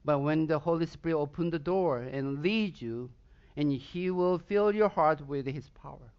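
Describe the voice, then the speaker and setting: A man's voice: fairly high pitch, normal speed, smooth, lo-fi sound. A pastor from Southern Sweden, a man whose first language is Swedish, preaching a sermon with a Swedish accent and emphatic bursts of intensity.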